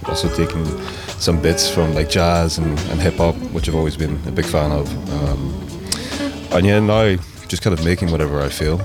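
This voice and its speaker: A man's voice: rumbling low, moderate pace. A Northern Irish man in his thirties, a DJ and producer, chatting casually with lots of fillers in an interview. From Belfast, Northern Ireland. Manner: relaxed, rambling, many fillers. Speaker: guest DJ and producer. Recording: music playing underneath.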